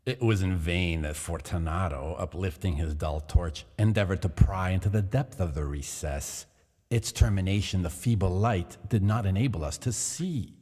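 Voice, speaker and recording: A man narrating a gothic horror tale in a measured, theatrical reading. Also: low-pitched, a little mellow, husky. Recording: long hall reverb.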